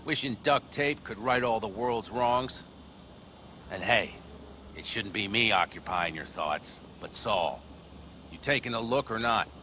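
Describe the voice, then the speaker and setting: An actor playing a weary, no-nonsense nomad veteran in a video game, brusque and dry. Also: male voice, lowish voice, a little slow, pushed and bright, a little hiss or hum. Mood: dry, impatient.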